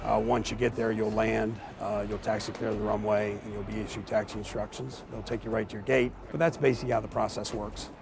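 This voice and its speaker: A man's voice: lowish voice, flat delivery, a little fast, creaky, hardly any pauses, background noise. A man giving explanatory interview answers as an expert, in technical and formal wording. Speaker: air traffic expert being interviewed.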